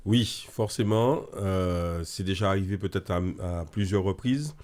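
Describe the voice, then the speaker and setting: Casting: low-pitched male guest from French Guiana, halting speech. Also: darkish tone. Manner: halting, stuttering repetitions. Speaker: guest, theology student.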